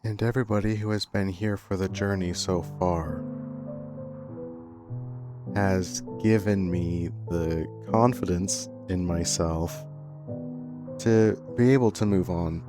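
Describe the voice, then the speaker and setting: A young man with a deep, low voice speaks straight to his audience, earnest and reflective. He pauses and restarts as he works through his thoughts. Soft music plays faintly under his voice. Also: fairly even intonation. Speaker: content creator. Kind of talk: reflective storytime monologue.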